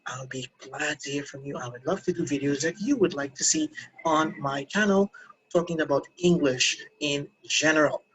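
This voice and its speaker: A man's voice: on the high side, moderate pace, a bit bright, slight background noise, rough recording. A man teaching an English lesson to camera in a casual, encouraging way, repeating phrases and asking learners questions.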